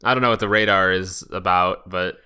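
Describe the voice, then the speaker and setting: Amused man with a bright, forceful voice. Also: deep voice, steady tone, a little fast, smooth. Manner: amused, laughs through reading, crude joking. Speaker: podcast co-host. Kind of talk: casual comedic reading with banter.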